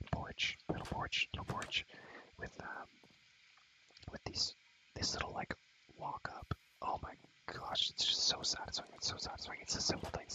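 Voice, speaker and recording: A young man whispering in a breathy voice for ASMR, rambling casually in slang as he shows a game world and softly repeats his phrases. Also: rough recording.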